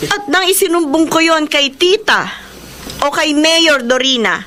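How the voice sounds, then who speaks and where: A woman's voice: high-pitched, heavy background noise. An actress playing a troubled, pleading young woman in a radio drama, with a Filipino accent. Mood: tearful, confessing. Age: young adult. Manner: emotional, pleading, drawn-out delivery. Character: troubled young niece, fearful, pleading, publicly accusing. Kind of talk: Tagalog radio drama acting.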